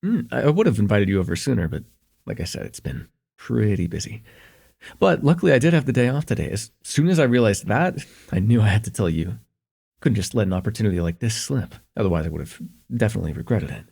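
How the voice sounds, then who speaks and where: A man playing a shy, smitten young student in an intimate ASMR roleplay, with an earnest, hesitant delivery and a husky, slightly gravelly voice. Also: fairly low pitch, very animated, quick pace, exaggerated voice. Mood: hopeful. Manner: hesitant, earnest, stammering, chuckling softly between phrases.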